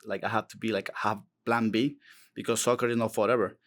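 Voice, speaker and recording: A man's voice: on the low side, level tone, fairly quick. Young man. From Colombia. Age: young adult. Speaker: student athlete.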